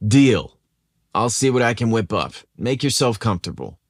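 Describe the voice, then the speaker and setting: Man as young male diner cook, casual, friendly, confident, lively pitch, dry sound. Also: moderate pace, no echo. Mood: pleased. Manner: firm but friendly, casual. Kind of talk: audio story character dialogue.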